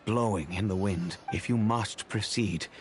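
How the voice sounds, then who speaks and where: A grave middle-aged master of a noble house played by a man speaks in a low voice. He is courteous, formal and measured, in anime game style, with faint ambient noise behind him. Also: close to an everyday voice. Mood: grave, warning.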